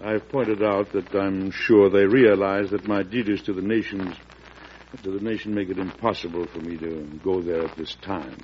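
An actor playing a principled, steady President in a classic radio drama, measured, earnest and formal. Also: male voice, sits low, highs cut off, natural voice. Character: principled, steady, dignified President of the United States. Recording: noisy, band-limited old radio recording.

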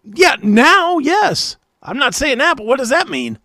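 High-pitched, animated man arguing sports. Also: measured pace, dry recording, clean studio sound. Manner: blunt, argumentative, opinionated. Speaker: sports talk show co-host. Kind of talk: casual sports banter.

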